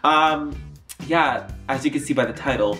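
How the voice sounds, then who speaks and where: A man's voice: mid-range voice, moderate pace, bright, forceful tone, soft background music. A young man telling a storytime vlog in a rambling, chatty way with lots of fillers, his nose stuffed up. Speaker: YouTuber.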